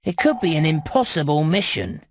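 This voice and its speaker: A man's voice: fairly high pitch, lively intonation, normal speed, slight background noise, natural voice. A man voicing a smug, slick rat who deals and haggles in a cartoon video game. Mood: sly, haggling. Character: wheeler-dealer male rat trader, smug and sly.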